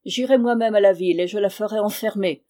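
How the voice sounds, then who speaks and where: A woman's voice: medium pitch, a little fast, mellow, natural voice. A woman voicing a wronged, hot-tempered, stubborn peasant husband in a dramatic audiobook reading, with a harsh, insistent manner. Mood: angry, imperious.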